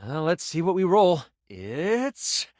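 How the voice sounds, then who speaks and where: An actor playing an excitable, theatrical nerdy game master in a video game, moving between grand narration and casual asides. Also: male voice, on the high side, slow pace, no room sound, studio quality. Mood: casual, explanatory. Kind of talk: video game character voice lines, dramatic tabletop narration.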